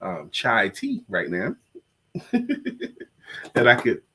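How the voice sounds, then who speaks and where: A man's voice: fairly low pitch, wildly swooping pitch, slow pace, bright, forceful tone, husky. A congested man co-hosting a casual live-stream chat, joking and self-deprecating. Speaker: co-host and coach.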